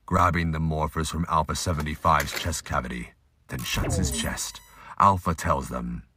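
A man's voice: rumbling low, normal speed, background noise. A man reading scripted dramatic narration as the narrator of an audio drama.

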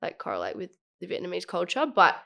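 A woman's voice: lowish voice, huge pitch swings, fast, vocal fry, a bit bright. A young woman in casual chat, talking in a chatty way with lots of fillers and frequent laughs.

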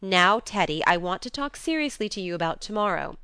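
A woman plays a blunt, tomboyish young woman from a storybook, in a smooth, clear voice with very dry sound. She is hearty and earnest as she admonishes. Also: medium pitch, lively intonation, brisk, bright, forceful tone, no echo. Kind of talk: audiobook character dialogue.

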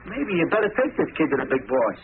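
Jumpy, high-voiced gangster henchman. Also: quick pace. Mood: tough. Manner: excitable, slangy tough-guy talk. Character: nervous, slangy gangster henchman, jumpy follower. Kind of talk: 1940s radio drama acting. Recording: scratchy, noisy, narrow-band old radio recording.

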